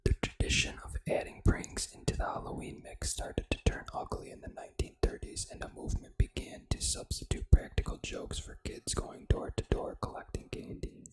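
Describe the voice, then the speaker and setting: An ASMR gaming host, reading trivia in a breathy, unvoiced whisper with casual, informal asides. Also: low-quality recording. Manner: casual, informal, unhurried reactions to what is read.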